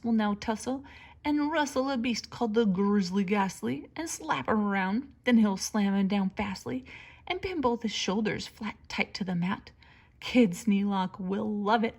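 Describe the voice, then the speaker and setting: A woman reading a children's story aloud like a teacher, with expressive, theatrical emphasis and a breathy edge to her voice. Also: mid-range voice. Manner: dramatic, theatrical emphasis on words.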